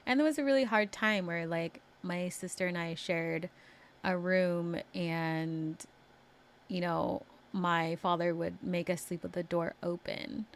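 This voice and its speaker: Young woman podcast host, slightly low voice, loose and chatty, telling her own stories. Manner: casual, chatty, laughs often, many filler words. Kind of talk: personal storytelling monologue.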